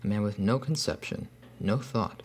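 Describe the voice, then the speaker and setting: A man reading a scripted first-person horror story in a deliberate, ominous manner. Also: on the low side. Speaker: narrator. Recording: faint hum behind voice.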